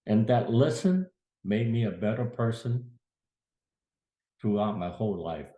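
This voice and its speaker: A man's voice: lowish voice, expressive, unhurried, dark tone, lo-fi sound. A man in his eighties telling a family story from his youth, plain-spoken and earnest. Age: 80s. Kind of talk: casual spoken memoir. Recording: echoey room.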